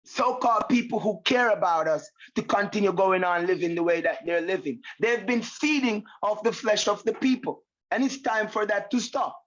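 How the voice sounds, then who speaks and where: A man's voice: high voice, expressive, normal speed, hardly any pauses, fairly bright tone, muffled recording. A man with a Jamaican accent speaking emphatically as a musician in an interview, repeating phrases for weight. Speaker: musician guest. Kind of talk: interview conversation.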